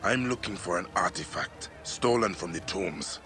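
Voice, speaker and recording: A terse, menacing warrior-assassin from an Egyptian epic game. His voice sits very low and he keeps his words short and hard. Music plays under the scene. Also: animated, normal speed. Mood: grim. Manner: curt and menacing. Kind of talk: game cutscene voice acting.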